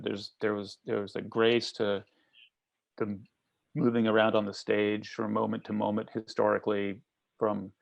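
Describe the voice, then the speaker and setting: A man chatting casually and reflectively as a theatre colleague, halting and restarting his phrases as he thinks. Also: fairly low pitch, vocal fry. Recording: slightly dull lo-fi call audio.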